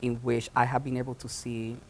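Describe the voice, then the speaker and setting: Guy from puerto rico talking slow and halting, lots of ums, mid voice, interview answers. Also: medium pitch, completely flat delivery, a little hiss or hum, poor audio. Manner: halting, many ums and restarts. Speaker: theatre founder and director.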